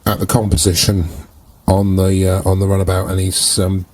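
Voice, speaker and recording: A man's voice: low voice, completely flat delivery, normal speed, a little mellow. A man playing a tabletop game, hesitantly and casually describing what his character does. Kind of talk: casual improvised roleplay narration.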